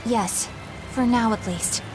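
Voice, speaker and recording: A woman's voice: a little high, slow pace, low-quality recording. A woman playing an earnest young female knight in a video game, prim, skeptical and easily flustered. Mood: uneasy. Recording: game music under the voice.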